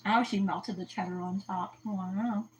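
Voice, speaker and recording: A woman's voice: medium pitch, fairly even intonation, measured pace, dull audio, a little hiss or hum. A woman hosting a casual livestream, chatty, telling stories and laughing often. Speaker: host of an aquarium livestream. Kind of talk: casual chat.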